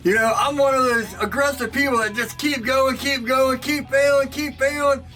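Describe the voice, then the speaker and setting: A man with a very high, bright voice talks excitedly to his camera, projecting loudly and breaking into laughter. He's recorded from some distance in his workshop, with faint music underneath. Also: moderate pace. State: sore throat. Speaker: knifemaker and channel host. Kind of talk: casual talk to camera. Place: home workshop.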